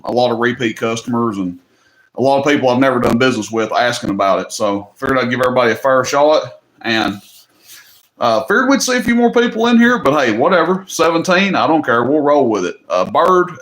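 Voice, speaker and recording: A man's voice: medium pitch, fairly bright tone, rough recording. A man hosting a casual live-stream auction from home, talking in an easygoing, rambling way that often repeats itself. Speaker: livestream auction host. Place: indoors at home.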